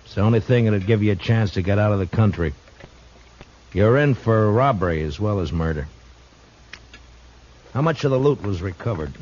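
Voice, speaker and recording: A man acting a calm, shrewd Old West marshal in a western radio drama, speaking in a dry, measured way. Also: lowish voice. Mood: stern, probing. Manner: dry, measured, unhurried questioning. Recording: dull, noisy old radio recording.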